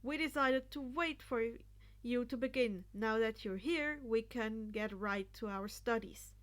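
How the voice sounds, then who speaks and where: A woman's voice: a little high, measured pace, natural voice. A woman voicing an earnest, practical teenage boy class leader in a story, with formal, clipped declarations. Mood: resigned, formal.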